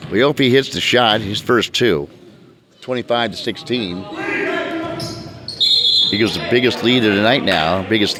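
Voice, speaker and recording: A man announcing basketball play by play in a brisk manner, calling the action as it happens. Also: medium pitch. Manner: brisk, talks over the action. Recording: loud crowd and bouncing balls behind. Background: gym crowd and basketball bounces.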